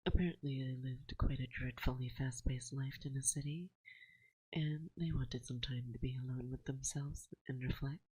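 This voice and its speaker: A woman playing a playful, dominant forest witch in an ASMR roleplay, speaking softly and breathily close to a binaural mic, often slipping into a whisper, teasing and coaxing. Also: rumbling low, fairly even intonation, breathy edge, no room sound, poor audio. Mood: playful, musing. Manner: slow, teasing, coaxing, with musing pauses. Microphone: close binaural microphone.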